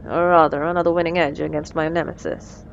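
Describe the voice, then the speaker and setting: A woman's voice: low voice, level tone, a little fast, gravelly, dull audio, slight background noise. A woman playing a wry, menacing supervillain in a scripted audio roleplay, teasing and condescending, with cool threats. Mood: businesslike. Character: wry, menacing female supervillain mentoring a captive assistant.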